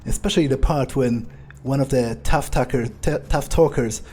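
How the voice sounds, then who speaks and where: A man's voice: medium pitch, normal speed, a little mellow, breathy edge, close to an everyday voice. A man voicing a cocky, cruel, foul-mouthed vampire as in-character commentary on a video game, drawling and sardonic as he muses aloud. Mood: musing, contemptuous. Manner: drawling, sneering, musing.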